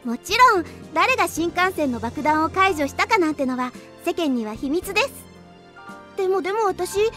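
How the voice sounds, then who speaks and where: A woman's voice: very high voice, a bit bright. A woman playing a cheerful, scatterbrained teenage schoolgirl in an anime audio drama.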